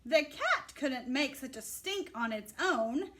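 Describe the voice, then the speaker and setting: A woman reads a rhyming story for children in a high voice that swings widely in pitch. She takes her time, phrasing each line with clear emphasis and expression. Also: animated, slow, bright, forceful tone. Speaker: storybook reader. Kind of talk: animated read-aloud of a rhyming children's picture book.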